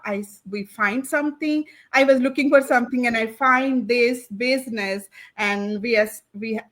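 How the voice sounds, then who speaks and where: A woman from India, introducing herself on a video call, hesitant with fillers and restarts. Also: a little high. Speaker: stay-at-home mom and business owner. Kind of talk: casual self-introduction.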